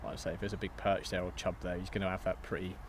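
A man, explaining his fishing approach in a quick, practical way while sitting outdoors on a riverbank. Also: deep voice, little pitch movement. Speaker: match angler guest. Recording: outdoor recording, faint noise.

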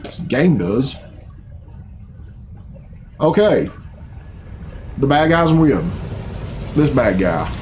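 A man's voice: mid-range voice, big pitch swings, very slow, pauses often, darkish tone, slight background noise. A man in his thirties giving casual reaction commentary in a blunt, sarcastic, profane way. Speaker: reaction video host. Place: small bedroom at home, indoors. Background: game cutscene music.

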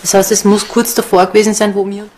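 A woman's voice: mid-range voice, level tone, fast, darkish tone, slight background noise. A woman with an Austrian accent explaining things spontaneously in a documentary interview. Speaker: ghost hunter.

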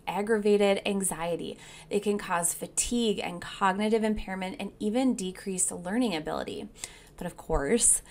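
A woman hosting a home wellness video, reading a steady, informative script as a voice-over and recommending products she uses. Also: medium pitch. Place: not seen, voice-over over slides.

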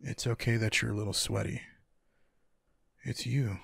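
A man playing a tender, teasing, sleepy lover in an intimate boyfriend ASMR roleplay, speaking softly and affectionately in a husky, gravelly voice. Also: medium pitch, fairly even intonation, no echo, studio quality, heavily acted. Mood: affectionate, playful. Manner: soft, affectionate, unhurried. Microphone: close microphone, dry.

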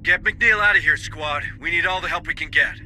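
An actor playing a tough, dry military squad sergeant in a video game, giving clipped, authoritative orders. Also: male voice, fairly high pitch, fairly quick, music in the background, natural voice. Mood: brisk, steady.

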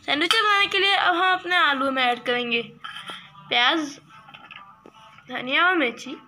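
A woman hosting a cooking channel, explaining a recipe step by step in a steady voice-over. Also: high voice, normal speed, faint music behind.

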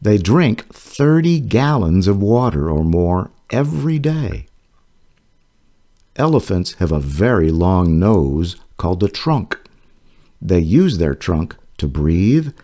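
A man reading his own children's picture book aloud, in a gentle storytelling manner with a lively rise and fall. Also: low voice, takes it slowly. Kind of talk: slow children's read-aloud. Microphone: voice-over over book pages.

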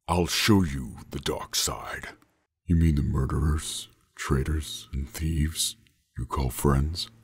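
A man doing a deep gravelly masked villain. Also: steady tone, soft background music, full character voice. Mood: menacing. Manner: slow, low, threatening delivery.

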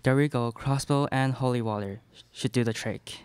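A man's voice: medium pitch, fairly even intonation, normal speed, a little mellow, clean tone, no echo, lightly acted. A young man playing a protective father and former vampire hunter in a live radio play. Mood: earnest. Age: young adult. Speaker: student radio play performer. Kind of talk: live radio theatre acting. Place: small classroom studio. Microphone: stand microphone, close.

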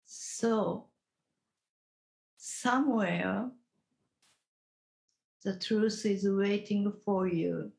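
A woman leading a guided meditation as a teacher, instructing gently in short, halting phrases with long pauses. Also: mid-range voice, vocal fry, poor audio.

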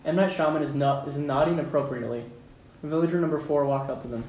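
Higher-voiced man narrating in an echoey room. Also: fairly even intonation, fast, a little mellow. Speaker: narrator reading stage directions. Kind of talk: scripted narration at a table read. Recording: echoey room, distant mic, faint noise.

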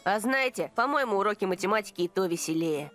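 A woman voicing a sharp, decisive young girl in an animated cartoon dub. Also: medium pitch, fast, natural voice. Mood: matter-of-fact. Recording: music behind the voice.

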